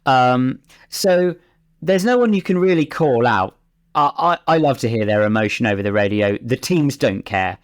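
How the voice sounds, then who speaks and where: A man's voice: mid-range voice, expressive, normal speed. A man talking as a racing driver and pundit, giving conversational, discursive analysis in an emphatic way.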